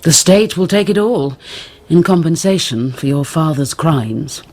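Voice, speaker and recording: A woman acting a dignified, sorrowful middle-aged mother in a radio drama, grave, resigned and resolute, with a husky voice. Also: sits low, expressive, measured pace, a little mellow. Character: dignified, sorrowful mother, an abandoned nobleman's wife.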